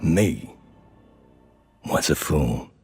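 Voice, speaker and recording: Very deep, wry underworld narrator. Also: male voice, lively intonation, exaggerated voice. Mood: wry, scheming. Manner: wry, storytelling, measured. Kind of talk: in-character game story narration. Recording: loud music bed behind narration.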